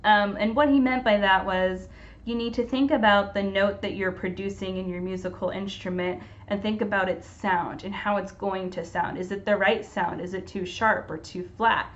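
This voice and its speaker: A woman's voice: medium pitch, steady tone, normal speed. Young woman giving encouraging storytime tips. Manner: earnest, encouraging, plain-spoken. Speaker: storytime presenter. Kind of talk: casual instructional talk to camera. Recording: dull lo-fi recording.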